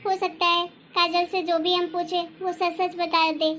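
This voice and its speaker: Woman playing gentle, high-pitched dutiful wife. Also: flat delivery, measured pace. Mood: concerned, considerate. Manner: soft, reasoning, respectful. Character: gentle, considerate elder daughter-in-law, dutiful wife. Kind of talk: acted dialogue in Hindi family story.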